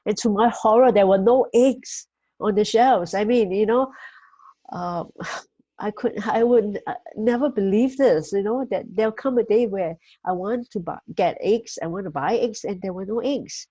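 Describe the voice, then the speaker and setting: A woman from Singapore, hosting a motivational talk and giving weekly life tips in a gentle, measured way with frequent fillers. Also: mid-range voice, dry recording, lo-fi sound.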